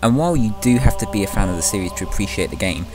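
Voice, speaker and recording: A man's voice: fairly low pitch, animated, racing. A man giving scripted opinion commentary as the presenter of a video game countdown. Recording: music behind the voice. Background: loud music.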